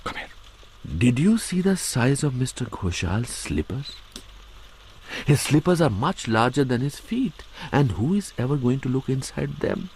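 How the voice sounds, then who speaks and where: An actor with an Indian accent playing an excitable, blustering middle-aged novelist in a radio drama. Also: male voice, medium pitch, unhurried, creaky, slight background noise, poor audio. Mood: defensive. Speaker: actor playing the detective's comic companion.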